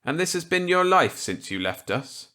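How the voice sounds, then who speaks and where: A man's voice: a little high, very animated, moderate pace, bright, forceful tone, pitched above the performer's own voice. Man acting a stiff, uneasy government scientist. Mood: stiff, guarded. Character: uneasy, resentful government scientist, earnest and easily shocked.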